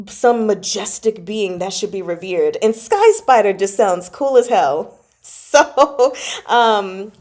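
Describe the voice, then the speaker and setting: Chatty, giggly woman retelling a story. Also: mid-range voice. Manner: animated, giggly, slangy, acts out characters' reactions. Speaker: book club host and storyteller. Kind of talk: casual chat, retelling a novel to viewers.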